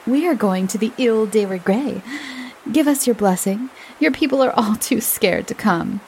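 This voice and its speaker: A woman voicing a chatty, self-assured lady who speaks in bright, gushing exclamations. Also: fairly high pitch, expressive, normal speed, slight background noise, pitched above the performer's own voice, rough recording. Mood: gushing arch. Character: chatty, gushing upper-class English lady.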